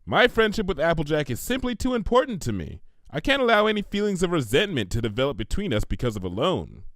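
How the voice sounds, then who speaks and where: A man's voice: on the high side, huge pitch swings, quick pace, a bit bright, no echo, clean studio sound, exaggerated voice. A man voicing a prim, bookish young female cartoon pony, earnest and precise as she lectures. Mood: prim, lecturing. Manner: lecturing, precise, matter-of-fact.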